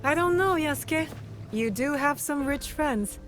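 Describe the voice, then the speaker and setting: A woman playing a compassionate but resolute fighter in a historical game drama, soft-spoken and sincere. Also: high-pitched, animated, measured pace, over music. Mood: lightly teasing. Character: compassionate, resolute female fighter of feudal Japan. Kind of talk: dramatic game cutscene acting.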